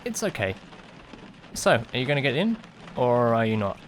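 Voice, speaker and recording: Casting: male actor as awkward young driver narrating his own story, conversational and musing, very lively pitch, clear rain and car noise behind. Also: moderate pace, lightly acted. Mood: casual, awkward. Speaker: narrator and lead character. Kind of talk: first-person audio drama narration with acted dialogue. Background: rain and vehicle sounds.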